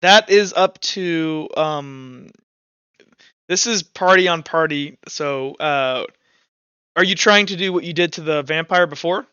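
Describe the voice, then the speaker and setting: A man speaking as game master, narrating a tabletop roleplaying game in an informal, halting, animated way. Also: fairly high pitch, smooth, no room sound, highs cut off.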